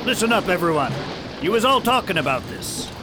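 A man's voice: sits high, very animated, fairly quick, noisy background. An actor playing a coarse, bloodthirsty pirate crewman, taunting in a radio drama. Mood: scornful.

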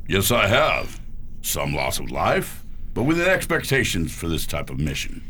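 A man's voice: low-pitched, huge pitch swings, normal speed, sharp tone, slight background noise, exaggerated voice. A man playing a dismissive space exploration bureau chief in a science fiction radio drama, emphatic and official. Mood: brisk.

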